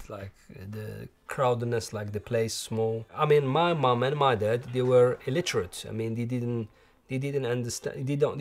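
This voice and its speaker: Algerian man in his forties, lapel-miked at home, hesitant phrasing, with soft music underneath. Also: medium pitch. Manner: halting, many restarts and fillers. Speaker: interviewee. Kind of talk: documentary interview. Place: living room at home.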